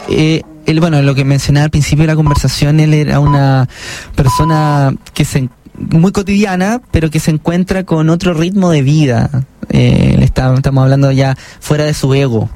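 A man's voice: on the high side, steady tone, moderate pace, background noise. A man from Chile with a Chilean accent, an author being interviewed on the radio, talking thoughtfully with many restarts. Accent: Chilean Spanish. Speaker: author and guest.

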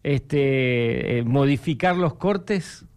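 A man's voice: medium pitch, expressive, very slow, darkish tone, clear, resonant tone. A man with an Argentine Spanish accent hosting a casual interview, asking playful, chatty questions. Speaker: host.

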